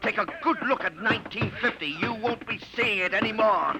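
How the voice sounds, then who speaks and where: An actor playing a smooth, scheming time-traveler villain, persuasive, in a 1950s radio drama. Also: male voice, high voice, brisk, over loud music. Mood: commanding. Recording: dull noisy 1950 radio broadcast.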